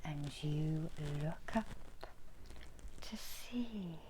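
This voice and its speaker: A middle-aged woman whispering a guided meditation in ASMR style, breathy and hushed, with long soothing pauses. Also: deep voice, animated, background noise. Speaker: meditation guide and Reiki healer. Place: small indoor room.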